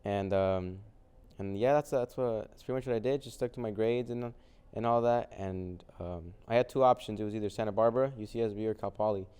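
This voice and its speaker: A young man from Mexico, a native Spanish speaker, answering interview questions in a relaxed way, full of "um" and "like". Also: lowish voice, brisk, smooth. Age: young adult. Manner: relaxed, many fillers like "um" and "like". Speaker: guest, vintage clothing reseller. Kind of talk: casual interview conversation.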